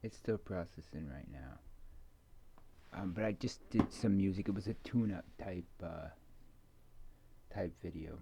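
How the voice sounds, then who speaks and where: A middle-aged man in an unscripted, casual ASMR ramble, halting and meandering, with many fillers, on a muffled home recording. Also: deep voice, gravelly, mellow, a little hiss or hum. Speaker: ASMR video maker. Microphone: microphone moved around beside a keyboard.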